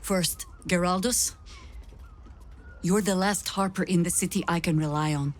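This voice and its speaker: An actress playing a stern, weary veteran woman commander in a video game, speaking in a measured, grave and authoritative way. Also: fairly low pitch, fairly even intonation, lightly acted. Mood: regretful, resolute. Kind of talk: dramatic video game acting.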